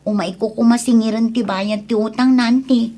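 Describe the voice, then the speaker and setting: A woman's voice: on the high side, fairly even intonation, moderate pace, warm tone, natural voice. An actress playing an earnest, dutiful young Ilocano woman in a radio drama, speaking expressively. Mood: worried. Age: young adult.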